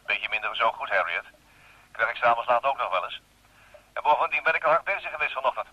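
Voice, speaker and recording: An actor playing a wry, bantering detective in a radio play, familiar and teasing in manner. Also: male voice, mid-range voice, fast talker, pushed and bright, slightly rough, dry recording. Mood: wry, businesslike. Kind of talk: Dutch radio play acting. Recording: dry, slightly dull radio play recording.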